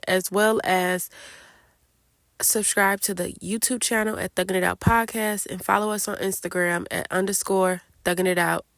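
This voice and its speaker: A woman's voice: mid-range voice, creaky, fairly bright tone, no room sound, clean studio sound. A woman hosting a casual solo podcast, sounding congested from a cold and chatting candidly with lots of fillers. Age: late 20s. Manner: chatty and candid, many fillers like "like" and "I don't know". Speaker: podcast host.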